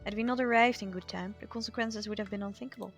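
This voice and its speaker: A woman's voice: mid-range voice, steady tone, fast talker, close to an everyday voice. Girl reading out a cold immortal demon slayer guy from a game, formal, curt, disdainful, music playing. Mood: grave. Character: stern, aloof male immortal demon slayer. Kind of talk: video game dialogue read aloud.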